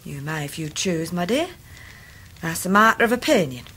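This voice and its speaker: An actress playing a warm, plain-spoken country nursemaid with a rural English accent in a radio drama. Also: female voice, on the low side, animated, moderate pace, slight background noise. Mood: affectionate.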